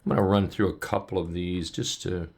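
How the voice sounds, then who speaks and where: A man coaching on trading, talking loosely and upbeat with many ums and restarts as he walks through charts, his voice going hoarse. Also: sits low, steady tone, fairly quick, darkish tone. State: losing his voice. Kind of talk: casual chart walkthrough.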